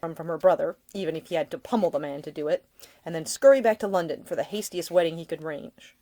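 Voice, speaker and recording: Lowish-voiced woman narrating fiction. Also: quick pace, warm tone. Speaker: author reading own audiobook. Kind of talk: expressive scripted fiction narration.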